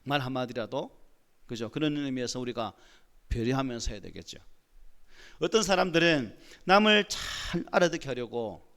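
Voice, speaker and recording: A pastor preaching a Sunday sermon in a church with a Gyeongsang dialect, often asking the congregation rhetorical questions and building to emphatic points. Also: male voice, medium pitch, animated, moderate pace, a bit bright. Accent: Gyeongsang Korean dialect. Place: church sanctuary.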